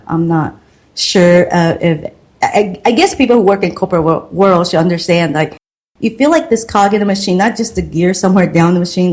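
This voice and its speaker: A woman's voice: lowish voice, darkish tone. A middle-aged woman from Taiwan with a Taiwanese accent, telling her life story as a podcast guest in an earnest, reflective way. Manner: earnest, reflective, slow and careful. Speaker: guest, marketing consultant.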